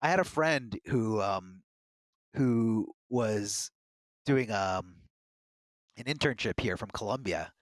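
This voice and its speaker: A man's voice: lowish voice, lively intonation, slow, pauses often, no room sound. Earnest man, dry close sound. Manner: earnest, candid storytelling. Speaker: fighting game commentator, podcast guest. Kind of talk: casual podcast conversation.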